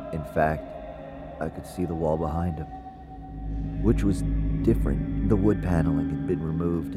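A very low-pitched man tells his ghostly story in the first person. He sounds uneasy yet conversational, like a scripted audio drama confession. Music plays prominently beneath his words. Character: haunted man recounting a seance, uneasy, conversational. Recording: music bed under the voice.

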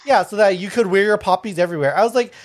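A man's voice: high voice, very fast. Laughing, blunt podcast host, Thai-born man. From Thailand. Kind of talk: casual chat.

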